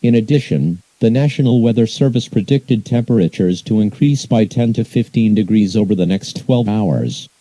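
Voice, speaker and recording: A man's voice: lowish voice, normal speed, hardly any pauses, mellow, dry recording. A man reads a scripted emergency alert announcement in a formal, impersonal manner. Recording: muffled, hissy emergency broadcast audio.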